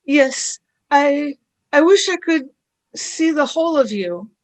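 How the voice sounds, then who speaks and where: An earnest young woman in a space radio play, acted by a woman. Her voice is extremely high, with a questioning, anxious delivery. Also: lively intonation, slow pace. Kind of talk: fan radio play acting.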